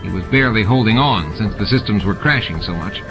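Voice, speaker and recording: Low-voiced man narrating over music. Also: lively intonation, brisk, poor audio. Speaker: audiobook narrator. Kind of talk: scripted fiction narration. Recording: music and sound effects behind the voice. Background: added music and sound effects.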